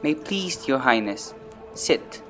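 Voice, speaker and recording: A man's voice: mid-range voice, big pitch swings, takes it slowly, a bit bright, soft background music. An actor playing a deferential, concerned nobleman in a dramatic reading of Shakespeare.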